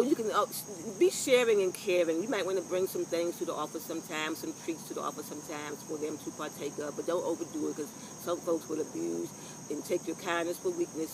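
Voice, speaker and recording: An older woman with a loud voice, giving frank, rambling workplace advice to a phone camera outdoors, with salty asides. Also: lowish voice, background noise. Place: outdoors on a lawn by trees. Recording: faint insects and crickets outdoors.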